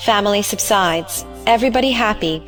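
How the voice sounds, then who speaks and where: A woman's voice: medium pitch, fairly quick, faint music behind. A woman narrator reading scripted stage directions in short, clipped phrases.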